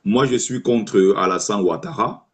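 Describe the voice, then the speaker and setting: A man's voice: fairly low pitch, a little slow, husky. A man hosting a live stream, giving opinionated commentary and arguing his points while speaking straight to his listeners. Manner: argumentative, rhetorical, addresses listener directly. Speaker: livestream host taking callers.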